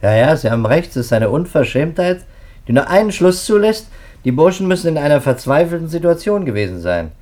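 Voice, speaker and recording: An actor playing a skeptical, matter-of-fact male police detective in a 1950s radio drama, brisk and businesslike. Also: fairly high pitch, sing-song, darkish tone. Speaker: police investigator.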